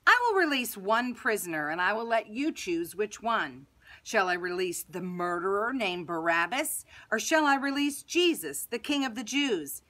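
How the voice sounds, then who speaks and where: Woman as Roman governor, proud man, exasperated, declamatory, forceful bright voice, storybook reading. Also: medium pitch, big pitch swings, normal speed. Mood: scheming. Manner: declamatory, questioning.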